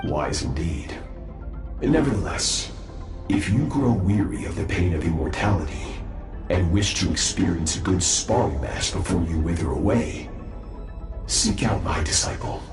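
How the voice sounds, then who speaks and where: A aloof, powerful master from a fantasy game speaks formally in a very low voice. His delivery is measured and sure of itself. It rings with echo, with music clearly behind. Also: animated. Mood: calm, lofty. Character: powerful, self-assured, aloof master swordsman, adult man. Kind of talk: dramatic video game voice acting.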